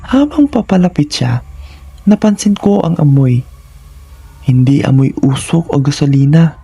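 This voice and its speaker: Guy telling a scary story, slow and suspenseful, gravelly dark voice, really dry close sound. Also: on the high side, animated, no echo. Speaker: horror story narrator. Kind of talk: scripted first-person horror narration.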